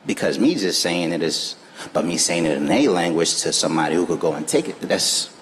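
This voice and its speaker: A man with a very low voice speaks earnestly on a festival stage. His words carry a long hall echo over a faint hum of the crowd space. His phrasing is informal and emphatic. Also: animated, fairly quick. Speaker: panel guest. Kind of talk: live panel discussion. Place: festival stage before an audience.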